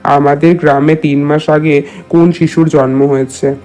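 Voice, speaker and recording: Man playing a proud village headman, evenly pitched. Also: level tone, moderate pace, natural voice. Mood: concerned. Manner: earnest, addressing his wife familiarly. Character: proud, status-conscious village headman, husband and new father. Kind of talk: cartoon dialogue. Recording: music behind the voice.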